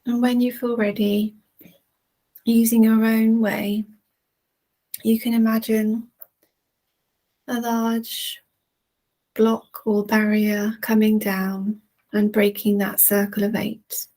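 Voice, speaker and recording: A woman leading a guided relaxation in a soothing, gentle manner with long, unhurried pauses. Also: fairly high pitch, flat delivery, poor audio. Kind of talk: guided relaxation, meditation narration.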